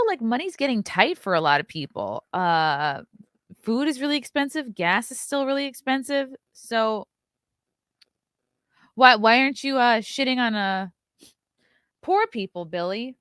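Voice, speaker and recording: A woman's voice: medium pitch, a bit bright, clear, resonant tone, dry recording, studio quality. A woman in her thirties hosting a casual livestream, reading chat comments and reacting with blunt, sarcastic commentary and frequent swearing. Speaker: livestream host.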